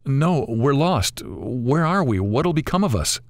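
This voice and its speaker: A man's voice: mid-range voice, very animated, measured pace, no echo, clean studio sound, voice pushed up. A man voicing a worried young woman who pleads anxiously.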